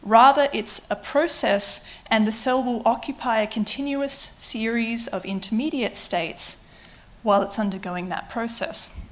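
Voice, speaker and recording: A woman's voice: on the high side, measured pace. Australian woman giving a science lecture. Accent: Australian with slight British influence. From Adelaide, Australia. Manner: explanatory, with light self-deprecating asides. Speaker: university researcher giving a public lecture. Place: lecture hall. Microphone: microphone at a lectern or on her.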